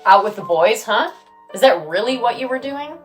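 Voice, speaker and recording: A woman playing a fiery, wronged partner with a sharp-tongued, accusing manner in an audio drama. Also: a little high, lively intonation, moderate pace, soft background music, natural voice. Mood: angry, accusing. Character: fiery, petite, wronged woman confronting her unfaithful partner.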